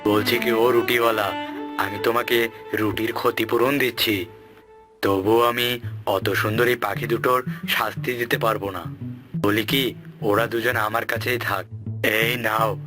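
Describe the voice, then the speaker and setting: Man as a kindly low-voiced cartoon landlord. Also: flat delivery, a little slow, long pauses, lightly acted. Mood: lenient. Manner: musing, indulgent, avuncular. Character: kindly, bemused male village landlord. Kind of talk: children's cartoon voice acting. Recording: music behind the voice.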